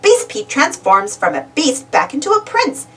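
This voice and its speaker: A woman reading a children's storybook aloud as an animated narrator. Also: sits high, moderate pace. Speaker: storybook reader. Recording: distant mic, music behind.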